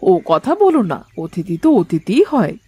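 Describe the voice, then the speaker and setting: A woman voicing a hospitable, gently bossy older mother-in-law in a cartoon family drama. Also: fairly low pitch, very animated, normal speed, breathy edge, dry recording, exaggerated voice. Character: hospitable, kindly older Bengali mother-in-law, head of household.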